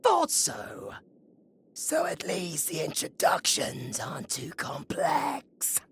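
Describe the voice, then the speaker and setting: A man with a British accent playing a flirtatious, gleefully wicked undead necromancer in a theatrical, taunting audio roleplay, slipping between breathy whispers and shouts. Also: fairly high pitch, wildly swooping pitch, takes it slowly, a little hiss or hum, exaggerated voice. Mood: mocking, sardonic. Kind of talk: ASMR audio roleplay acting.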